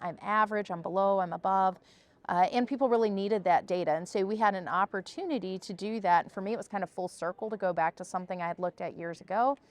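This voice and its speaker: A woman research scientist speaking as a podcast guest, explaining research in a discussion, often checking with "right?". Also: medium pitch.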